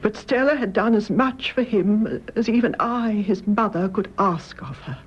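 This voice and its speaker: An actress playing a grave, loving elderly British mother in a radio drama, speaking in a measured, gentle, solemn way. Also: mid-range voice, lightly acted. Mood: solemn, confessing. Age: older. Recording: muffled radio recording, clear background noise.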